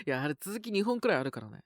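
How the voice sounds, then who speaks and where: Sick man chatting softly, dry close recording. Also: a little high, no echo. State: sick with a sore, scratchy throat and cough. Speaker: voice actor making situation-voice videos. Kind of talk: intimate casual chat to an unheard partner.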